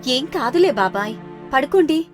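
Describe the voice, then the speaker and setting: Woman as a confident, soothing elder sister, high voice. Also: lively intonation, moderate pace, faint music behind. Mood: comforting. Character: reassuring thirty-year-old elder sister, caring, firm, unafraid. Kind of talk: moral story character dialogue.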